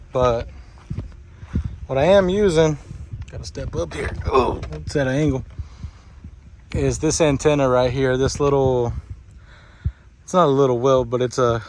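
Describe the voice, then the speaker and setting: A man's voice: mid-range voice, darkish tone. Casual man in a noisy handheld recording. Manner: informal, offhand, uses y'all. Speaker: DIY vlogger. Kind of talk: casual hands-on install walkthrough. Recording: noisy handheld video with clicks.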